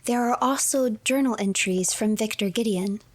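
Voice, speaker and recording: A woman streamer giving measured, scripted story narration of a video game and reading its files aloud. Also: a little high.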